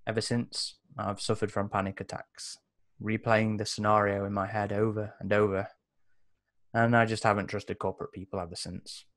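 A man with a fairly low voice reads a first-person character background for a tabletop game. The sound is dry, without any room. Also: little pitch movement, moderate pace, a bit bright, dry recording. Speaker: player. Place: indoors at home, online video call.

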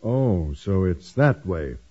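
Earnest, stiff American schoolteacher, animated. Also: male voice, low-pitched, slow pace, slightly rough, full character voice. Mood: puzzled, suspicious. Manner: stiff, proper, wounded. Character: earnest, jealous, self-effacing bashful male schoolteacher. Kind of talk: 1950s radio situation comedy acting. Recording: dull band-limited 1950s radio recording.

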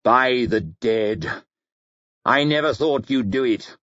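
A man's voice: medium pitch, slow, a bit bright, dry recording, lo-fi sound. A man voicing a mocking, bitter defeated warlord in an audiobook, taunting and scornful. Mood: stunned.

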